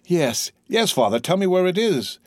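Guy doing a young sailor son in an audiobook, excitable n earnest, higher voice, dry sound. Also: normal speed, dry recording. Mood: worried, urgent. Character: eager, devoted twenty-year-old male sailor, loving son. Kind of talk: dramatised audiobook reading of a classic novel.

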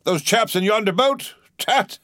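A man's voice: high-pitched, expressive, a little slow, a bit bright, no room sound, clean studio sound, pitched above the performer's own voice. A man reading aloud, voicing a jovial, drawling ship's mate who coaxes and scolds his rowers. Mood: rousing, coaxing. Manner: coaxing, jovial, drawling exhortations. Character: blustering cheery mate urging rowers, man.

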